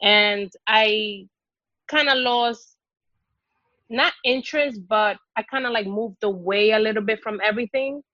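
A woman from the Dominican Republic speaks in a halting, searching way, often restarting her sentences. Her voice sits at an ordinary pitch and sounds dry and a little dull, as if from a modest recording. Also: bright, forceful tone, no echo. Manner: hesitant, many fillers and restarts. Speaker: guest exploring Islam. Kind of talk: hesitant personal conversation.

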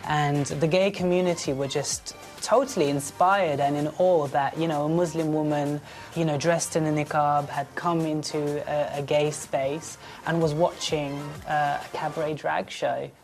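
Candid man, quiet music underneath. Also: a little high, steady tone, moderate pace. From Britain. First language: Urdu. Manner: candid, reflective. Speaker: drag performer. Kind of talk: personal documentary narration.

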